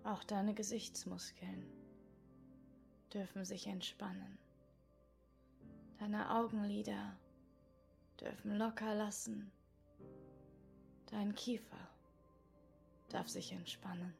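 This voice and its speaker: A woman leads a guided meditation in a soft voice that often drops to a whisper. She speaks very slowly, with a gravelly, creaky edge to her tone. Faint music plays under her voice. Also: medium pitch, flat delivery. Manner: slow soft whispery guidance. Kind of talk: guided inner-child meditation.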